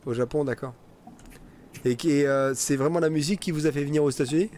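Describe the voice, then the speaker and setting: A man playing a polite, eager newspaper reporter in a role-play game, interviewing courteously in halting, formal phrases. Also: fairly high pitch, fairly even intonation, darkish tone, a little hiss or hum, natural voice. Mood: curious, polite.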